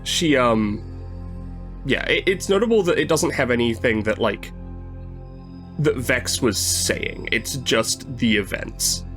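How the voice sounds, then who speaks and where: A man improvising narration for his tabletop character in a halting, tentative manner. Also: mid-range voice, animated, fairly bright tone, faint music behind. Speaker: guest player.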